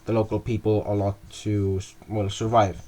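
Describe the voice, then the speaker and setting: A young man casually narrating a map video, halting often with fillers and restarting words as he goes. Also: on the low side, little pitch movement, mellow. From half Russian. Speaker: mapping video creator.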